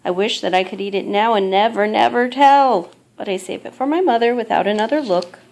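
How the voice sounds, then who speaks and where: Mother reading a picture book: female, mid-pitched voice, measured and expressive storytelling delivery. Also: creaky. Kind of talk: children's picture book read-aloud.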